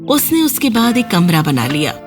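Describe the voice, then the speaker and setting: A woman tells a children's fairy tale as a scripted narration. Her pitch moves in a lively, animated way, and music plays behind her. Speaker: storyteller.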